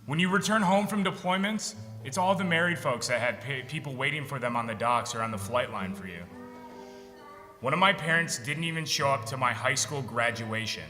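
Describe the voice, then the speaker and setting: A man's voice: medium pitch, lively intonation, brisk, bright, forceful tone. A young American man, a veteran, reading a frank, sardonic personal monologue on a theatre stage. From United States, Michigan. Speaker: military veteran performer. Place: theatre stage in an arts center. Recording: reverberant hall with music behind.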